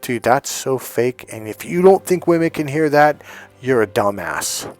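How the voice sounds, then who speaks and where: A man's voice: mid-range voice, expressive, unhurried. Blunt, swearing male dating coach. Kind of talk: casual profane rant to camera.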